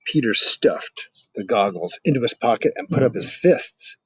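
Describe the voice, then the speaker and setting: A man's voice: medium pitch, very animated, moderate pace, a bit bright, husky, no echo, rough recording. A middle-aged man reading a children's story aloud expressively, with dramatic questioning asides to young listeners. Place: outdoors in a garden.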